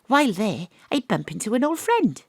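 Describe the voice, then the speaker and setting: An actress playing a wry, cocky woman detective who narrates her case in a deadpan, confiding audio drama style. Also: on the high side, moderate pace, no echo, clean studio sound.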